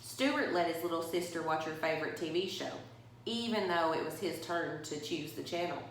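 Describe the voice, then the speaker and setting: Lowish-voiced woman reading in an echoey room. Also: normal speed, slight background noise. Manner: expressive, addresses young listeners directly. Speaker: story reader for a church. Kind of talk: children's bedtime story read aloud.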